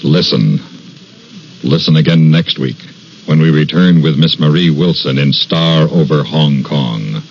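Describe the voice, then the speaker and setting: Very deep, creaky vintage male radio announcer. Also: dull audio, a little hiss or hum. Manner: measured, formal, ominous. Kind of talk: 1950s radio show announcing, scripted. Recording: old band-limited radio recording, music behind.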